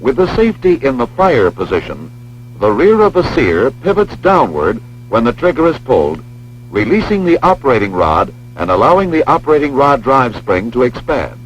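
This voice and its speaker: An American man reading scripted instructional narration for a training film in a measured, precise, formal manner. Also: mid-range voice, big pitch swings. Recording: dull lo-fi film soundtrack, humming noise.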